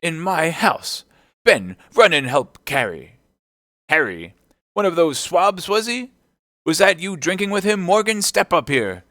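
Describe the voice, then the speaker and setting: A man voicing a bluff, sly old one-legged sailor landlord in a storybook, with forceful exclamations and salty seaman's talk. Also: low voice, expressive, unhurried, dry recording, clean studio sound, heavily acted. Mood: loud outrage.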